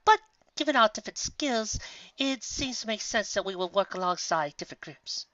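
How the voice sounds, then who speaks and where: A woman's voice: medium pitch, expressive, normal speed, fairly bright tone, no echo, lo-fi sound, pitched above the performer's own voice, natural voice. A woman voicing a refined, theatrical and sincere female pony in a storybook reading, speaking eloquently. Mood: reasoning.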